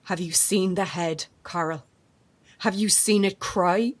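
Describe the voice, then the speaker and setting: An actress playing a blunt, sceptical reporter in an audio drama, sarcastic and confrontational. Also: female voice, fairly low pitch, takes it slowly. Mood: probing. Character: defiant, sardonic female reporter, sceptical and blunt.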